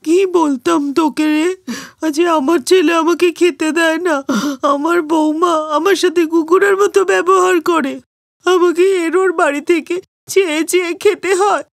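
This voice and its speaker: A woman's voice: very high-pitched, steady tone, measured pace, creaky, dry recording, studio quality, full character voice. A woman voicing a wronged, humble elderly mother in a plaintive manner for a cartoon moral story. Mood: sorrowful, humble.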